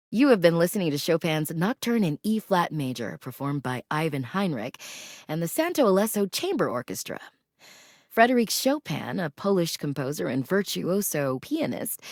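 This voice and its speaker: A woman playing a poised, courteous classical public radio host in a video game, making measured, refined scripted announcements. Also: lowish voice, no room sound, clean studio sound.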